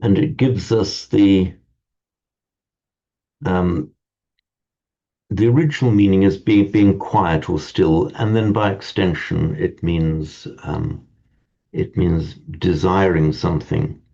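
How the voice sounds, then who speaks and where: A man teaching a Sanskrit class in a relaxed lecture manner, often stammering and restarting words. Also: low-pitched, takes it slowly, vocal fry, long pauses, breathy edge. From parents from Ireland. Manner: hesitant, repeats and restarts words. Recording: dull lo-fi sound.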